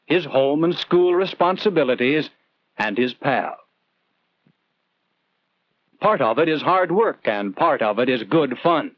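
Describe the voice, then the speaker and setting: A man's voice: a little high, no echo. 1940s educational film narrator, man, lo-fi soundtrack. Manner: earnest, conversational, rhetorical questions to the viewer. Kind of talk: 1940s instructional film narration, scripted storytelling.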